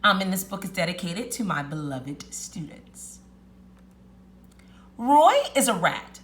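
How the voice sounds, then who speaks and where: A woman teacher reading a children's story aloud in an expressive, deliberate, emphatic storytelling manner. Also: lowish voice, slight background noise.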